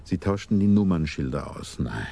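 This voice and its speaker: Low, mellow, sly schemer. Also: male voice, lively intonation, normal speed, exaggerated voice. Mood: amused, smug. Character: sly, cultured male schemer, self-styled keeper of order. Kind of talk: German radio crime drama acting. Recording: clearly noisy 1980s radio drama recording.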